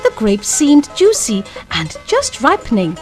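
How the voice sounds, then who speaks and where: A woman narrating a children's storybook in a deliberate, expressive, scripted way. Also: a little high, soft background music.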